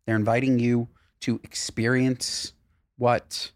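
Guy cohost being all dramatic about the heat, medium pitch, dry close mic. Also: slow, dry recording. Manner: animated, playfully dramatic complaining. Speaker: podcast co-host. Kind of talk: casual chat.

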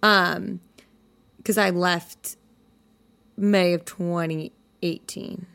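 A woman's voice: on the low side, steady tone, very slow, gravelly, fairly bright tone. A young woman hosting a podcast, talking casually with her guest, swearing now and then and saying like a lot. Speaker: podcast host. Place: indoor studio in a building.

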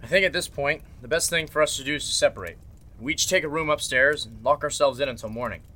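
An actor playing a commanding, steely lawyer who takes charge in a radio mystery, firm and authoritative. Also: male voice, medium pitch, fast, bright, forceful tone. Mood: firm, threatening. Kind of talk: radio mystery drama in Golden Age manner.

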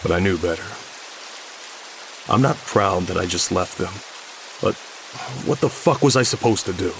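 An actor playing a shaken, guilt-ridden survivor, narrating a horror story in the first person with intense, weighted phrasing. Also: male voice, low voice, expressive, normal speed, vocal fry, background noise. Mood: shaken, haunted. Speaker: audio fiction narrator.

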